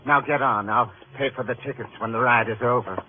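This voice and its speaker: A man acting a twisted, murderous villain in a 1950s radio crime drama, speaking in clipped, deliberate, precise phrases. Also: medium pitch, fairly even intonation, close to an everyday voice. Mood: smug menace. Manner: clipped, deliberate, menacing orders. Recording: noisy, tinny old radio drama recording.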